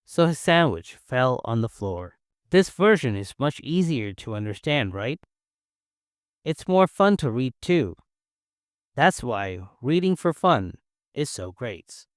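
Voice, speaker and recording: A young man from China with a Chinese accent, whose first language is Mandarin, teaching English in an encouraging, clear and simple way. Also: medium pitch, no echo, studio quality. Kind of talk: scripted English-learning lesson.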